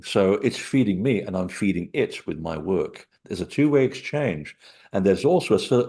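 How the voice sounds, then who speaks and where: A man from Portugal, a guest author, telling digressive stories in an animated way from his small apartment living room over a computer call. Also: low voice, slightly rough. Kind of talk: rambling storytelling interview.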